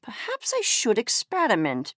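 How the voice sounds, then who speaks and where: A woman's voice: on the high side, wildly swooping pitch, normal speed, a bit bright, a little hiss or hum. A woman voicing a pompous, obsessive fuzzy creature scientist in a video game, coldly curious and disdainful, with precise, haughty, clipped academic diction. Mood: aloof. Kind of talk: video game character voice lines.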